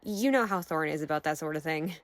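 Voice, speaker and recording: A woman's voice: deep voice, expressive, a little fast, no room sound. A woman playing a practical, sardonic young friend in an audio drama, with a matter-of-fact delivery.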